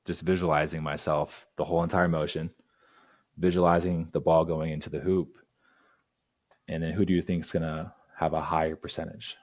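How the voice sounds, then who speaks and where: A man coaching breathwork as a podcast guest, calmly guiding listeners through breathing exercises in short instructive phrases. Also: a bass voice, moderate pace, no echo, lo-fi sound.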